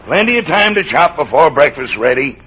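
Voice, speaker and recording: An actor playing a gruff, terse, suspicious Western man with a husky, folksy drawl in a radio drama. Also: fairly high pitch, sing-song, brisk. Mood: curt, gruff. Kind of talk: old-time radio Western acting. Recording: muffled old radio broadcast.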